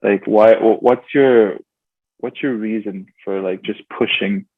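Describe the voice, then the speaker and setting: A man's voice: lowish voice, fairly even intonation, measured pace, husky, no room sound. A man hosting a casual interview podcast, talking with many fillers and restarts. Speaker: podcast host. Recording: tinny phone-like sound, dry.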